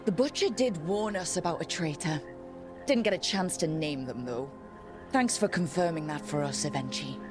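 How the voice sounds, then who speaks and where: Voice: medium pitch, normal speed, over music, lightly acted. An actor playing a resolute, wry young hero in a video game cutscene, speaking in a dry, pointed way. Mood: accusing.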